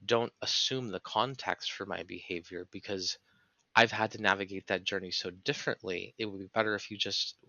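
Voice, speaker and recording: Man, podcast guest, forceful bright tone, earnest analytical explainer, reflective conversation, dry close-miked sound, slightly dull audio. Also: lowish voice, steady tone, moderate pace, vocal fry, no room sound.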